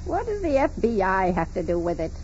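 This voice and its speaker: A woman's voice: mid-range voice, very animated, a little fast, darkish tone, soft background music, lightly acted. An actress playing a demanding, imperious wealthy woman in a 1940s radio drama. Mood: indignant. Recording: muffled, noisy 1940s radio recording.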